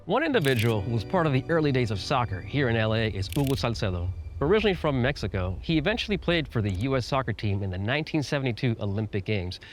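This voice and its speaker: A man's voice: mid-range voice, very animated, quick pace, hardly any pauses, soft background music. A man hosting a documentary, moving between casual interviewing and narration. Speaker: host and interviewer.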